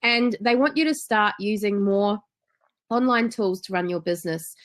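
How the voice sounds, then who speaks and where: A woman's voice: medium pitch, normal speed. A woman with a New Zealand accent hosting a business webinar in an enthusiastic, chatty way. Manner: enthusiastic, chatty, frequent ums. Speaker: webinar host.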